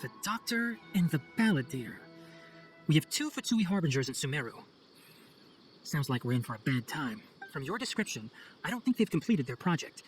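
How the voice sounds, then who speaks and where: A young forest scholar in a game cutscene, calm and pragmatic. His speech is articulate and thoughtful with lively melody, over faint music. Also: a little high, normal speed, rough recording. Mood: concerned. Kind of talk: video game cutscene voice acting.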